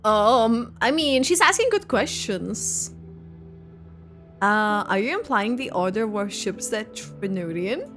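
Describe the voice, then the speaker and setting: A woman's voice: mid-range voice, expressive, slow pace. A woman gaming creator giving casual, hesitant live reaction commentary as she plays, often trailing off. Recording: faint game music behind.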